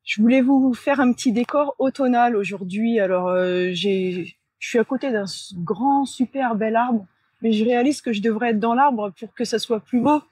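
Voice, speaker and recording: A woman with a slightly husky voice talks casually to viewers, explaining things in a halting way with self-corrections. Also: on the high side, a little mellow, no room sound. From France. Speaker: channel host and spiritual coach. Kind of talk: casual vlog talk to viewers. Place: outdoors beside a large tree near a road.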